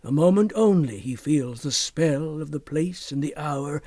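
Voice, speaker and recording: Husky, higher-voiced man reciting verse. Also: expressive, measured pace, no room sound. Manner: theatrical, with swells and hushed drops to near whisper. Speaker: poetry reader. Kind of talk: dramatic recitation of narrative poem.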